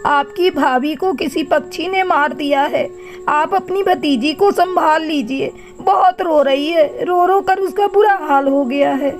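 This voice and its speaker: A woman's voice: sits high, unhurried. A woman voicing a helpful, bustling neighbour bird auntie in a cartoon, with emphatic, familiar talk. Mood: concerned. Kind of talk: Hindi cartoon moral-story dubbing. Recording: music behind the voice.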